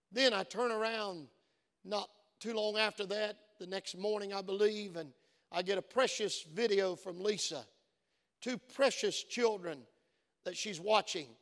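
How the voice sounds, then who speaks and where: A man's voice: high-pitched, fairly bright tone. A man preaching a sermon as pastor, with a voice worn from use and an earnest storytelling manner that builds to fervent exhortation. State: voice worn from many phone calls. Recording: long reverb of a church hall.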